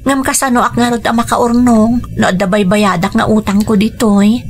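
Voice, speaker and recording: A woman's voice: on the high side, fairly even intonation, normal speed, faint music behind, natural voice. An actress in a radio drama playing a dutiful, yielding young woman who works abroad. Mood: resigned.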